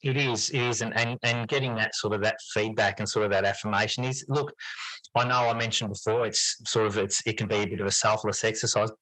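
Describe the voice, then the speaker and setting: A man's voice: lowish voice, fairly even intonation, brisk, sharp tone, no room sound, low-quality recording. A man speaking as an interview guest in casual conversation, hesitant and rambling, often repeating words as he finds his point. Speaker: podcast guest, English teacher and writer.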